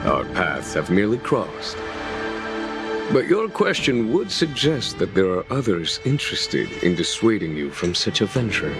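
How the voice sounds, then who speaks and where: An actor playing a calm, genial general in a video game, speaking in measured, eloquent and formal words. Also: male voice, on the low side. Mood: genial, composed. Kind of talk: video game cutscene acting. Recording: music behind the voice.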